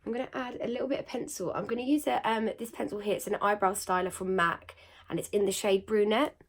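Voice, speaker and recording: A young woman with a croaky, slightly nasal voice after being ill, chatting casually through a makeup tutorial with lots of fillers. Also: medium pitch, steady tone, fast. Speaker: beauty vlogger.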